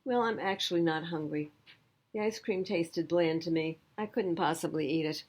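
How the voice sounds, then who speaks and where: Storybook schoolgirl, played by a woman: emphatic, self-pitying, expressive, slightly husky delivery. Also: fairly low pitch, moderate pace, natural voice. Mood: glum. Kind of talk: storybook character reading.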